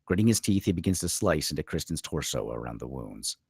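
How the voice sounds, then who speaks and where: A man's voice: very deep voice, very animated, a little fast, creaky, darkish tone, breathy edge, no room sound, clean studio sound. A man narrating screenplay stage directions at a table read, reading scripted scene descriptions.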